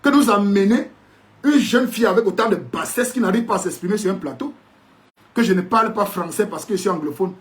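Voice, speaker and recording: A man's voice: sits high, brisk. A man with a Cameroonian French accent ranting sarcastically in a video commentary, indignant and preachy, sometimes slipping into English to mock. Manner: mixes in English mockingly.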